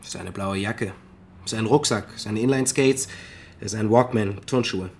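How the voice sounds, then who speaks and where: An actor playing a cheeky, laconic man with a deadpan delivery in a radio crime drama. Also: lowish voice, unhurried, slight background noise.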